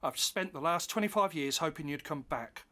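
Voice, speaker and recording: An actor playing a cheeky, slightly wounded grown-up imaginary friend, wry and playful, in a comedy audio drama. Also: male voice, fairly high pitch, moderate pace, pushed and bright. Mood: wounded, reproachful.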